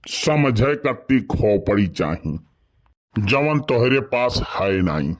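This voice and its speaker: A man's voice: mid-range voice, sing-song, slow. A man voicing a gossipy, mischievous village man in a comedy cartoon, speaking with an Awadhi accent from eastern Uttar Pradesh. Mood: sly mocking.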